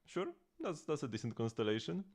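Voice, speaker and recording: A man's voice: medium pitch, very animated, a little fast, a little mellow. A man in his late twenties chatting while he streams a game, rambling with lots of restarts and laughs. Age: late 20s. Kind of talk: casual gaming livestream chat.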